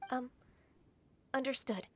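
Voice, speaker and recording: An actress playing a gentle, earnest young woman of spirit blood in a video game, polite and hesitant. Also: high voice, steady tone, slow pace, background noise. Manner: soft-spoken, polite and hesitant.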